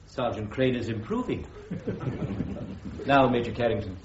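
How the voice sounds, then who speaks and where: An actor playing a dry, authoritative president of a military court in a radio drama, formal in manner. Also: male voice, medium pitch, big pitch swings, unhurried. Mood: dryly commanding. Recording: echoey, distant, dull, noisy radio recording.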